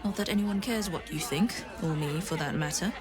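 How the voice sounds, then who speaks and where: A woman's voice: fairly low pitch, fairly quick, lightly acted. Lady playing a weary posh gossip in a game, music and street crowd going behind. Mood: disapproving. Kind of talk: video game character acting.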